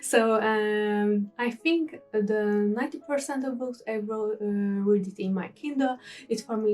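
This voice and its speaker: A woman's voice: a little high, a little mellow. Non-native young woman with a Hungarian accent, casual vlog chat, slightly distant mic with faint music bed. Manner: chatty, restarts sentences, non-native grammar. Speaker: book vlogger. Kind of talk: casual reading wrap-up chat.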